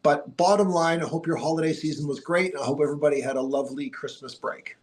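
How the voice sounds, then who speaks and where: A man's voice: on the high side, quick pace. A man hosting a sports podcast from a TV studio, chatting casually with a broadcaster's polish while stuffy from a cold. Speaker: podcast host.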